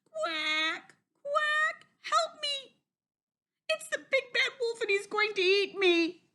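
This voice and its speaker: A woman voicing a small, frightened male baby duckling in a children's story, squeaky and whimpering. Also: animated, takes it slowly, pushed and bright, full character voice. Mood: terrified.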